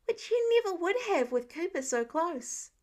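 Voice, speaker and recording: A woman's voice: very high-pitched, big pitch swings, moderate pace, a little mellow, pitched above the performer's own voice. A woman voicing a competitive young girl in a story, pert and argumentative. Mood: objecting.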